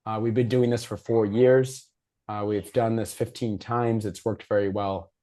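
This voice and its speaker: Hoarse man moderating a civic discussion. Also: on the low side, steady tone, normal speed, mellow. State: hoarse, losing his voice. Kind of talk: prepared presentation with informal delivery. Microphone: video call.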